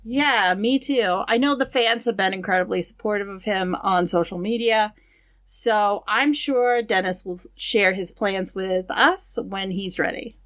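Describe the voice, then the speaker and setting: A woman co-hosting a podcast, reading news items in a steady, matter-of-fact way with casual asides. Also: medium pitch, measured pace. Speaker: podcast co-host.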